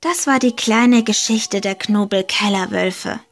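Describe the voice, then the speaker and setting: A woman reading a short homemade fairy tale aloud, slow and deliberate, leaning gently into key words. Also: medium pitch. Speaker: storyteller. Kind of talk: slow storybook narration.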